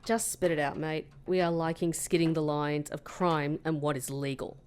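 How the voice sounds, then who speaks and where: A woman's voice: low voice, little pitch movement, normal speed, darkish tone, slight background noise, natural voice. An actress playing a skeptical, blunt female undercover operative in an audio drama, with a dry, sardonic delivery. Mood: impatient, dry.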